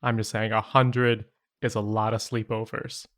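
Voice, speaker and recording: A man's voice: fairly low pitch, level tone, normal speed, a bit bright. A man co-hosting a podcast, chatting casually in a wry, teasing way as he reacts to stories. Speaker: podcast co-host.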